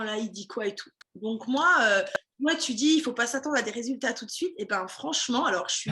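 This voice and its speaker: A woman's voice: a little high, bright, forceful tone, a little hiss or hum. A woman running a language school, chatting casually in an interview with many fillers and restarts.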